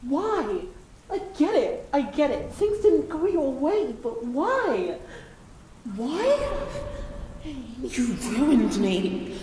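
A woman playing a bitter, heartbroken yandere with supernatural power in a dramatic audio roleplay, speaking in an emotional, halting way. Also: sits high, very animated, dark tone, far from the mic, full character voice. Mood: anguished, furious. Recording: roomy sound with faint noise.

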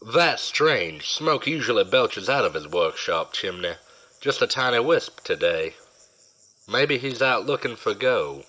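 A man's voice: on the low side, big pitch swings, a little slow, sharp tone, full character voice, poor audio. A man in an audio drama playing a shrewd, watchful fighter, cautious and scheming.

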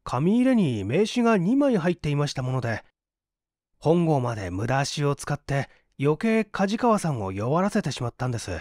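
Male narrator as polite, modest young man in an audiobook drama, higher voice, animated pitch. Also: moderate pace, no room sound, clean studio sound. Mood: polite, explanatory.

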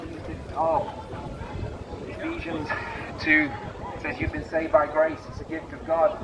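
A man preaching an open-air sermon over a loudspeaker in short, declarative, emphatic phrases. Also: high voice. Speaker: street preacher. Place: outdoors on a busy pedestrian shopping street. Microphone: loudspeaker PA, picked up by camera at a distance. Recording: roomy, distant PA sound, noisy street.

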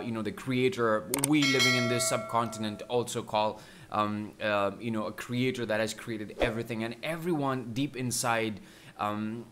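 A man's voice: medium pitch, a bit bright, a little hiss or hum. Reflective man hosting a music reaction. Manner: reflective, many fillers and restarts. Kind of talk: casual reaction commentary. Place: small room at home, indoors.

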